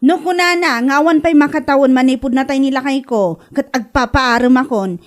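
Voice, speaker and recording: A woman's voice: high-pitched, normal speed, natural voice. A woman playing a hot-tempered widow stung by gossip in an Ilocano comedy drama, theatrical and aggrieved, with an Ilocano accent.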